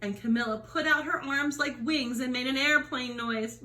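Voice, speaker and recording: High, bright-voiced woman reading a children's story. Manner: dramatic emphasis, drawn-out key words. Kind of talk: animated children's storybook read-aloud.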